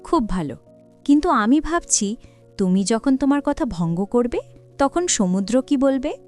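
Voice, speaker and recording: A shrewd little crab from a cartoon fable, firm and careful, put on by a woman narrator. The voice is on the high side, over soft music. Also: big pitch swings, measured pace. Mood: challenging. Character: clever small cautious crab, firm about promises.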